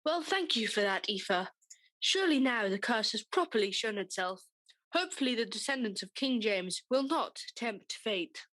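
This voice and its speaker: A woman's voice: on the high side, expressive, normal speed, a bit bright, dry recording, poor audio. A woman playing a composed studio news anchor in a comedy radio play.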